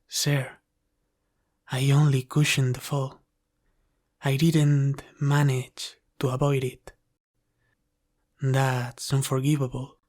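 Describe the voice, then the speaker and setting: Man playing a slow, formal half-dragon butler. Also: medium pitch, a little mellow. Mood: remorseful. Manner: formal, deferential, measured. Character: devoted, apologetic half-dragon male butler, caring and formal. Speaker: ASMR roleplay performer. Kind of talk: ASMR roleplay acting.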